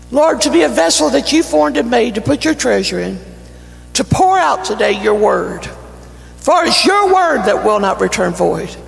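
A man with a North Carolina Southern accent, an evangelist praying and preaching a fervent sermon that builds to shouting in a church. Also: very high voice, vocal fry. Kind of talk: sermon with opening prayer. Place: church sanctuary. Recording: church hall reverb, faint music.

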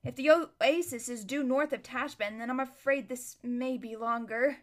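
An earnest, careful young boy from a storybook, plain-spoken and reasoning things out, as a woman reads him. The voice sits a little high. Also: moderate pace, lightly acted.

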